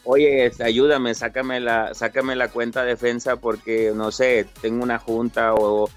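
Guest spot: young Mexican man, relaxed and open, fillers and restarts, mid pitch, faint music underneath. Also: measured pace. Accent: Mexican Spanish. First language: Italian-born, raised in Mexico. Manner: friendly, repeats words, frequent fillers. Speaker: interview guest, top game player. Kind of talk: casual interview answers.

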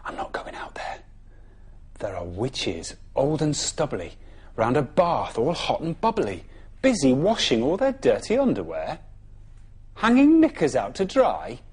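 Lively, soft-husky English storyteller man. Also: measured pace. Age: 30s. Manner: dramatic, often dropping soft and low. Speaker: children's bedtime story presenter. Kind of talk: expressive storytelling for young children. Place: television studio.

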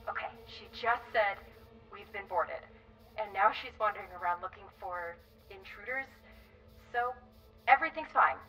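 A woman's voice: medium pitch, animated, moderate pace, distant mic, faint music behind, poor audio. An actress playing a feisty, brash, sarcastic young spaceship crewwoman in a video game. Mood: tense sarcasm. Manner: sarcastic, forceful.

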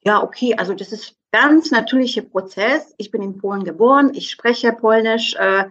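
Zögernde Frau mit polnischem Akzent, dumpfer Klang. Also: female voice, on the high side, moderate pace, fairly bright tone, husky, highs cut off. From southeastern Poland. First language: Polish. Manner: hesitant, many fillers. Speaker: guest. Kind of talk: conversational interview.

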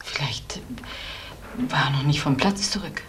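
An actress playing a concerned, soothing woman in a German radio crime drama, speaking in a hushed, caring way. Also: low-pitched, measured pace, whispered. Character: concerned, soothing woman at home late at night. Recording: faintly noisy old radio play recording.

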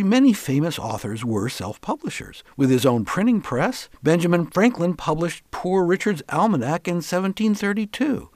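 A man hosts a talk radio show with a lively, swinging intonation. He chats in a folksy, conversational way. The sound is dry, with hardly any room in it. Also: mid-range voice, husky, no echo.

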